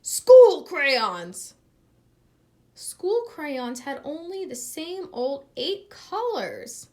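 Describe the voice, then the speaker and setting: A woman reading a picture book aloud in an expressive, teacherly way, pausing to address young listeners with questions. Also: high-pitched. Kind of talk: expressive children's read-aloud with asides and questions.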